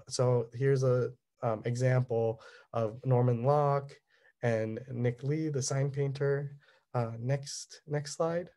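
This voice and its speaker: A young man walks through his slides at an artist talk, pausing often with ums and self-corrections. His voice is mellow and mid-pitched. Cantonese was his first language, though he speaks English here. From Southern California. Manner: halting, many ums and restarts. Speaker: resident artist.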